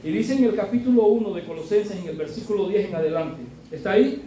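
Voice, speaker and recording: A middle-aged man preaches in a high, mellow voice. He talks with his congregation in a conversational way and keeps asking them to agree with him. He is recorded from far off in a very echoey church hall with faint room noise behind him. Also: fast talker. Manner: conversational, often adds tag questions; builds to loud emphatic exhortation. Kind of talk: sermon.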